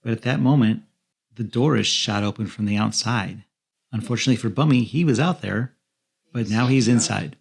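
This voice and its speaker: Husky, creaky-voiced man. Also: fairly low pitch, moderate pace. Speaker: podcast co-host. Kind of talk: film plot recap narration.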